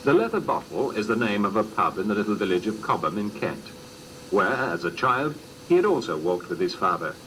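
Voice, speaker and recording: A man reading scripted documentary narration in a measured, formal storytelling manner, on an old cassette recording. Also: lowish voice, big pitch swings, fairly bright tone, a little hiss or hum, low-quality recording.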